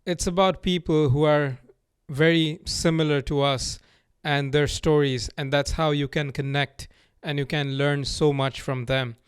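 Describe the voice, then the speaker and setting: A man hosting a podcast, talking in a relaxed, friendly way with frequent laughs. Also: a little high, unhurried, hardly any pauses, smooth, no echo, studio quality. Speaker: podcast host. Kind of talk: casual podcast chat.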